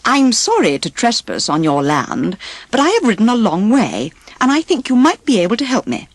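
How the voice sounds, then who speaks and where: A woman's voice: a little high, wildly swooping pitch, moderate pace, no echo, voice pushed up, heavily acted. A woman voicing a courteous young prince in a storybook reading, speaking earnestly and formally. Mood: courteous, hopeful.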